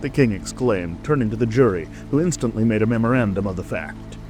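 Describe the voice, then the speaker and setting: A man's voice: fairly low pitch, a little fast, a little mellow. A man reading a scripted storybook narration as a dramatic audiobook narrator. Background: music.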